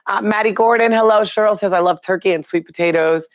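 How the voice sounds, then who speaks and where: Hoarse, chatty woman hosting a live show. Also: medium pitch, quick pace, no room sound. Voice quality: raspy. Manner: chatty, upbeat, throws questions to viewers. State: hoarse from a cold. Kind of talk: live-stream news chat, reading viewer comments.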